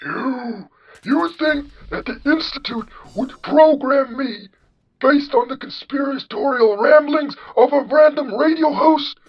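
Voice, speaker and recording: A man's voice: extremely high pitch, slow, dull audio, pitched above the performer's own voice, heavily acted. A man voicing a cranky, indignant old synth with a blustering, exaggerated generic old man voice in a comedy skit. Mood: scolding. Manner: blustering, repetitive, scolding.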